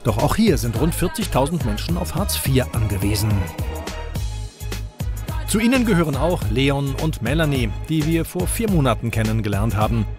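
A man's voice: medium pitch, very animated, normal speed, music in the background. A man reading scripted documentary narration in a dramatic, pointed tone.

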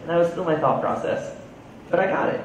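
A man's voice: a little fast, far from the mic. A young man from New Jersey with an American accent, giving an earnest, conversational stage talk that switches between English and Mandarin, speaking into a headset mic on a large auditorium stage. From United States. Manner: earnest, conversational, repeats words when restarting. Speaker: conference speaker. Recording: boomy hall sound, muffled, faint rumble.

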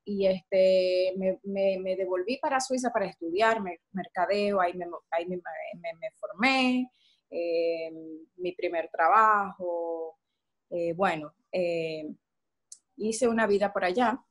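A woman's voice: lowish voice, slow pace. A young woman from Switzerland with a Dominican Spanish accent, chatting in a video call interview with many fillers and restarts. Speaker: singer guest. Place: home room indoors.

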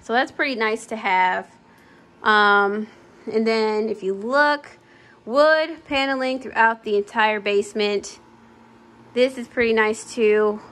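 A woman's voice: a little high, slow, fairly bright tone. A young woman casually chatting as she walks through an empty house, with frequent ums, filmed on a phone. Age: young adult. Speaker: home vlogger. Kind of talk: casual house tour vlog. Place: empty house, walking room to room. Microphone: phone camera held while walking.

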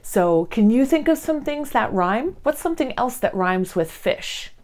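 A woman, around her thirties, talking and reading to young children in an expressive, emphatic way. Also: a little high, a little slow. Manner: animated, emphatic, addressing children directly. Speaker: children's read-along host. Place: indoor room, seated before a plain blue wall.

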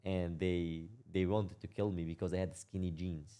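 Young syrian man, interview guest, deep dark voice, halting delivery with repeats. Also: level tone, smooth. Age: young adult. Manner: halting, repeats words, laughs while telling hard stories. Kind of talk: casual interview storytelling.

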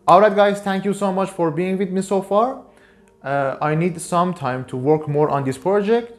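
A man's voice: high voice, normal speed, soft background music. A man in his twenties narrating an electronics tutorial as the presenter of his own videos. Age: 20s.

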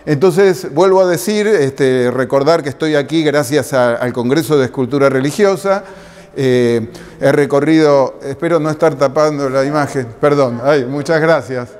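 A man's voice: fairly high pitch, moderate pace, a little mellow, clear, resonant tone. A middle-aged man from Argentina with a Buenos Aires accent, giving a conference lecture with slides, rambling with many "este" and "eh" fillers. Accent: Buenos Aires Spanish. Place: lecture room with projector screen. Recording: long reverb in a lecture room.

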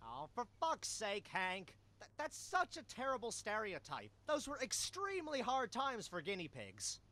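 High-voiced, grumpy animal sidekick. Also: male voice, huge pitch swings, a little slow, pushed and bright, full character voice. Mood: exasperated. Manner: sarcastic, exasperated. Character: grumpy skeptical animal sidekick, male, exasperated worrier. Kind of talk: cartoon adventure game voice acting.

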